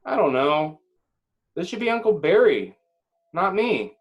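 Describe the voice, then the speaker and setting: An emotional young superhero voiced by a man in a screenplay reading. His voice rides high, and he repeats himself in halting, urgent bursts, unsure of himself. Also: very animated, muffled recording. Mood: hesitant.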